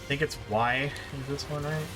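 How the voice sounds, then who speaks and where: A man's voice: a little high, steady tone, moderate pace. A man giving casual, explanatory live commentary as he streams a video game. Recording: game music behind the voice. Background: video game music.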